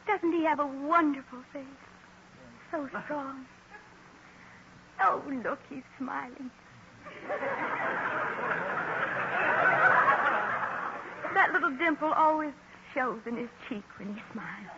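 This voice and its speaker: Coy shop clerk in love, high voice, gushy hints, muffled old radio sound. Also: female voice, measured pace. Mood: smitten. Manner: gushing, coy, hinting. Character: lovestruck, coy department store saleswoman. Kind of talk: 1940s radio sitcom acting. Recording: noisy, dull old 1940s radio recording.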